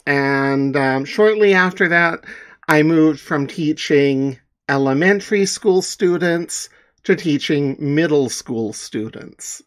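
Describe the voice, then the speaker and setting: A middle-aged man from Newfoundland, Canada, talking casually to viewers in a halting, self-deprecating, rambling way. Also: a little high. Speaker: comic artist and author.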